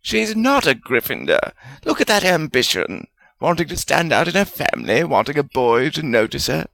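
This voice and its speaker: Man voicing a snappish, high-pitched jealous wizard ghost. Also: very animated, moderate pace, vocal fry, fairly bright tone, no room sound, exaggerated voice. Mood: fierce, insistent. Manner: snappish, theatrical. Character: excitable, fierce, jealous male wizard spirit, needling and snarling.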